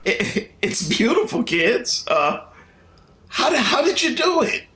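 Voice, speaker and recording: A man playing a gentle, easygoing father in a radio drama, with a hesitant, indulgent way of talking. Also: extremely high pitch, big pitch swings, slow, heavily acted. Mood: surprised. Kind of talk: vintage radio drama acting. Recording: noisy track with clicks.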